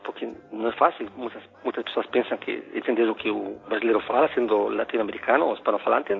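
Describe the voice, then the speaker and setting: A middle-aged man from Ecuador with a Spanish accent, a philosophy professor telling a story in a casual, halting way with many restarts and fillers. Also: on the low side, steady tone, gravelly, soft background music. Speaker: philosophy professor, podcast guest. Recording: phone-like narrow sound.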